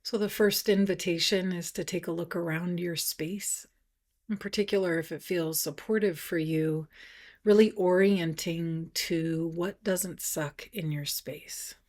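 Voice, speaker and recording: A woman meditation teacher leading a guided practice, speaking gently and softly with unhurried pauses, at times breathy. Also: lowish voice, fairly even intonation.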